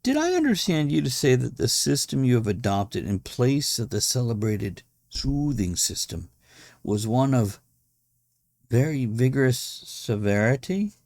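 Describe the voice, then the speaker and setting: A man voicing a polite, flustered young gentleman guest in a dramatic storybook reading, tentative, questioning and very deferential. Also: mid-range voice, big pitch swings, a little slow, no room sound, pitched above the performer's own voice. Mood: politely doubtful.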